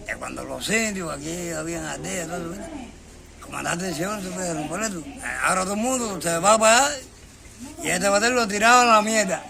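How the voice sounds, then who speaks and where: A man's voice: sits high, fast, gravelly, crowd noise. A Cuban man in his fifties, a local villager, speaking bluntly and colloquially in a casual interview, swearing freely. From Cuba. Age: 50s.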